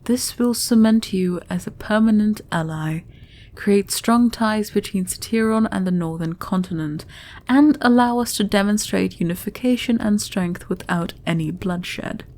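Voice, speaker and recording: A mature, regal queen, played by a woman in a fantasy audio roleplay. She has a soft-edged, mellow voice at a middling pitch and speaks with deliberate formality, shrewd and used to being obeyed. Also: close to an everyday voice. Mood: firm, businesslike. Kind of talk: audio roleplay fantasy acting, addressing listener.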